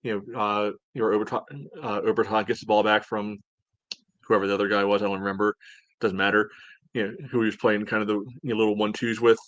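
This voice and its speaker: Male podcast co-host, lowish voice, close dry sound, conversational and hedging as he breaks down play. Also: level tone, quick pace, fairly bright tone, no room sound, muffled recording. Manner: hedging, conversational, frequent "you know". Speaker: podcast co-host, soccer fan. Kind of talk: casual sports analysis chat.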